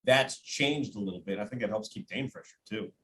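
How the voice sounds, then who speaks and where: A man with a lowish voice talks through sports analysis as a guest announcer. He explains things in a measured, conversational way, thinking aloud. There is faint noise behind him. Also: a bit bright. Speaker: radio play-by-play announcer, podcast guest.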